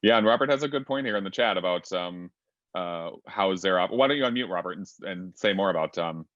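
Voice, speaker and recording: A man with a very low voice moderates a fan panel over a video call, and the sound is dry and muffled. He pads his sentences with fillers and keeps chiming in with short agreements. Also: fairly quick, a bit bright, no echo. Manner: filler-heavy, frequent brief agreement. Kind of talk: casual panel discussion.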